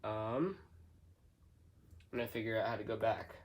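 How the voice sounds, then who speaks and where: A man doing an unscripted product walkthrough, halting as he thinks aloud step by step. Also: on the low side. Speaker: hobbyist product reviewer. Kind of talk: unscripted unboxing and setup walkthrough.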